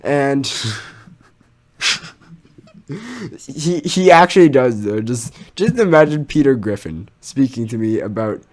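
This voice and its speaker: A young man with a mid-pitched voice tells a personal story online. His delivery is casual and animated, full of slang, exaggeration and swearing. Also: slow pace, pauses often. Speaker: storytelling YouTuber.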